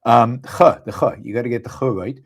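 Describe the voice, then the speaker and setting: Middle-aged male host with an Israeli accent, mid-pitched, loose live monologue full of ums and restarts. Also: fairly even intonation. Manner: halting, many fillers and restarts. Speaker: podcast host. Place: abroad, speaking on a live stream.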